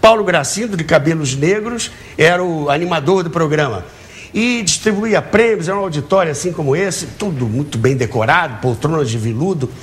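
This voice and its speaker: A man's voice: a little high, expressive, a little fast. A man, eagerly telling anecdotes as an interview guest, repeating words and asking rhetorical questions. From Brazil, Rio de Janeiro. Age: early 70s. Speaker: talk show guest, author of a book on radio theatre. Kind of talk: animated anecdotal storytelling in an interview. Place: TV talk show studio with audience.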